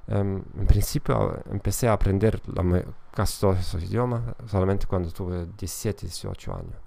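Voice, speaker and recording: Gravelly-voiced man. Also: low-pitched, lively intonation, moderate pace, mellow. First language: German. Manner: hesitant, many fillers and self-corrections, tag questions. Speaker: podcast host and language teacher. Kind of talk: casual solo podcast talk.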